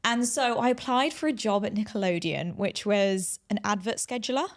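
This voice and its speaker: A woman with a medium-pitched voice shares personal stories as a guest in an interview. Her delivery is conversational and earnest. She stresses her points with a motivational push. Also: moderate pace. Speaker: interview guest, aspiring TV presenter telling career story.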